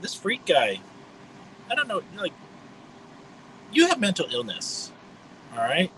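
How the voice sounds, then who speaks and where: A man in his fifties talks through a loose livestream monologue with a high, bright, forceful voice. He is blunt and mocking, and he wanders from point to point. A faint hum sits under the recording. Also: huge pitch swings, slow, rough recording. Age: 50s. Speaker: livestream host.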